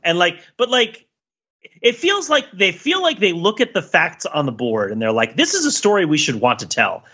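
Guy on a podcast, kinda high sharp voice, rambles and repeats himself, slightly muffled audio. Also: wildly swooping pitch, normal speed, breathy edge. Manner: rambling, emphatic, repeats words and poses rhetorical questions. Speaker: podcast panelist. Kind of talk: casual analytical discussion of TV.

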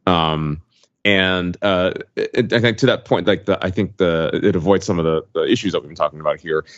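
A man talking casually as a podcast panelist, explaining his points in an analytical, halting way. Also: deep voice, no echo, dull audio.

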